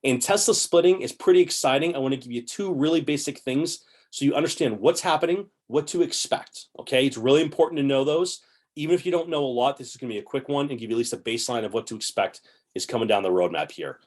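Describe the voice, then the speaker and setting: A man in his forties hosting an investing channel, casually explaining to a webcam from a home room in an upbeat, chatty way, a little hoarse. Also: medium pitch, fast talker, a little mellow. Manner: upbeat, chatty, gesturing with both hands. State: slightly hoarse, clearing throat.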